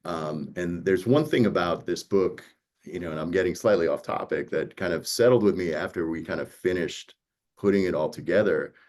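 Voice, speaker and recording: A man's voice: low voice, moderate pace, darkish tone. A man speaking as a producer in a conversational panel, explaining earnestly and checking in with listeners. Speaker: audiobook producer. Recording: dull, lo-fi audio.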